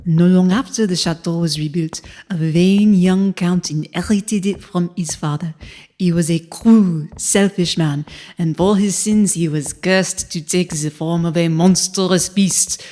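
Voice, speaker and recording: A formal, mysterious woman guide recounts old legends, acted by a woman. Her voice is a little low. She speaks in an ornate, theatrical storytelling manner suited to audio drama. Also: a little slow, dark tone, lightly acted. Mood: storytelling, dramatic.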